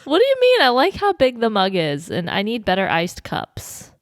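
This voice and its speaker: A woman's voice: a little high, wildly swooping pitch, normal speed, no room sound, clean studio sound. Easygoing, chatty woman podcaster. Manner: easygoing, chatty, laughs often. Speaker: podcast co-host. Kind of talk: casual chat.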